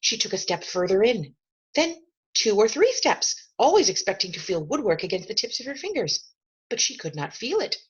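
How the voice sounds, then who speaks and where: A woman storyteller reads a children's novel with lively, swooping intonation and measured dramatic emphasis. Her voice is husky, and the recording sounds slightly dull and lo-fi. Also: medium pitch. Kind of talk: expressive storybook narration.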